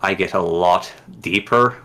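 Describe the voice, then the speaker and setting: A man hosting a casual conversation, talking in a relaxed, reflective way. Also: deep voice, measured pace, poor audio. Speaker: podcast host.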